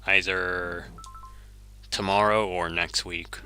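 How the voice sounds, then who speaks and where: A man's voice: deep voice, flat delivery, slow, bright, forceful tone, faint music behind. A man whose first language is Japanese, chatting easily while streaming a game, chuckling often and correcting himself as he answers viewers. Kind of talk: casual gameplay commentary, reading chat.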